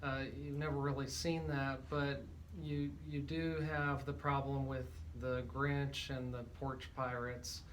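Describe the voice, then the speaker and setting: A man with an average-pitched voice hosts a talk radio program. He speaks conversationally, as an interviewer does. A faint layer of noise sits behind his voice. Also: flat delivery, a little slow, few pauses. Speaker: radio show host.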